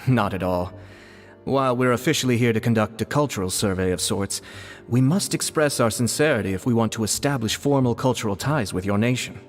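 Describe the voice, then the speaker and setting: A man voicing a courteous, diplomatic young nobleman in a video game, formal and measured. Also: medium pitch, long reverb tail, close to an everyday voice. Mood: courteous, sincere. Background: music.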